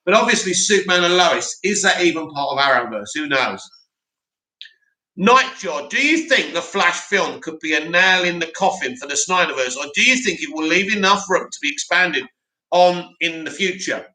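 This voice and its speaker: A man hosting a live stream, reading out viewer comments and answering them in a loud, opinionated way with lots of restarts. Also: sits high, lively intonation, moderate pace. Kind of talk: casual live chat. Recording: echoey room.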